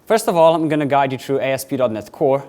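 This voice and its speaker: A young man from Slovenia with a Slovenian accent, giving a quick, chatty technical conference talk to a live audience. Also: mid-range voice, mellow. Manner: quick, chatty presenter with filler words, addressing a live audience. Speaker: conference speaker.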